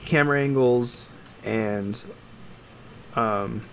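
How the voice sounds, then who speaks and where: Male podcast co-host, average pitch, conversational and opinionated, builds points in fragments, slight background noise. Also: very slow, a bit bright. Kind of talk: casual opinionated chat.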